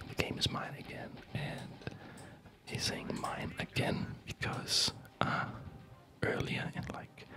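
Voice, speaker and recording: A man speaking in a breathy ASMR whisper, explaining things casually in a halting, digressive way. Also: rough recording. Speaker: ASMR video host.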